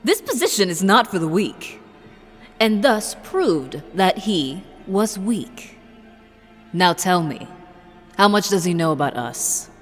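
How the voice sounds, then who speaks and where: A woman playing a cold, imperious cult leader in an audio drama, speaking in a measured, solemn, menacing way. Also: medium pitch, very animated. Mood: fierce, commanding. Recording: long hall reverb. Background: eerie horror music.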